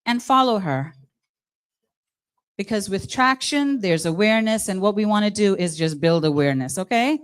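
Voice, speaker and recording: A woman's voice: medium pitch, normal speed, clean tone. A woman from Guyana with a Guyanese accent, hosting a live charity evening as mistress of ceremonies and coaxing the crowd. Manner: lively, coaxing the audience.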